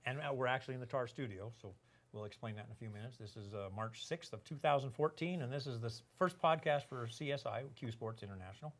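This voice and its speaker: A man's voice: medium pitch, quick pace. An older man hosting a casual podcast, rambling and joking, with lots of fillers and restarts. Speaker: podcast host, organization executive.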